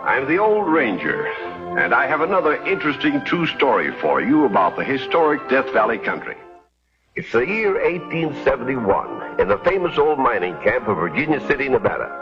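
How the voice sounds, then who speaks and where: An actor with an American accent playing a folksy old ranger who narrates a scripted Western anthology. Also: male voice, medium pitch, big pitch swings, a little fast. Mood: folksy storytelling. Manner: folksy, storytelling. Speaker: host narrator. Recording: dull lo-fi vintage broadcast, music behind.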